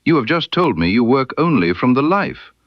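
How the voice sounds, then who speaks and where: A man voicing a shrewd, composed gentleman detective in an audiobook, speaking with precise, quietly controlled insistence. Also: mid-range voice, sing-song, normal speed, no echo, highs cut off, pitched above the performer's own voice, natural voice. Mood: probing, insistent. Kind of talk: character dialogue in an audiobook.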